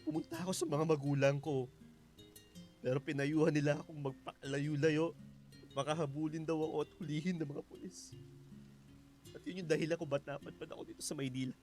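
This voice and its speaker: An actor playing a guilt-ridden fugitive father in a Filipino radio drama, halting and emotional. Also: a little high, lively intonation, a little mellow, faint music behind, close to an everyday voice. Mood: distraught. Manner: halting, repeats phrases, emotional.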